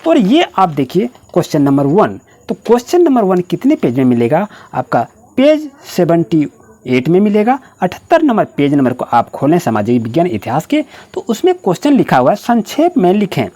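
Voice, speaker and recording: Female online tutor. Slightly low register, very wide pitch movement, instructional step-by-step dictation to pupils. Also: wildly swooping pitch, moderate pace, mellow. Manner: directive, repetitive step-by-step explaining. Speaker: online school history teacher. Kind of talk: instructional lesson, dictating answers to students.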